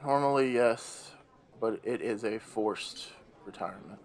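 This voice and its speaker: An actor playing a mournful, posh old man, retired against his will, in an audio drama, with a deep voice and a halting, heavy delivery. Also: creaky, darkish tone. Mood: resigned. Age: older.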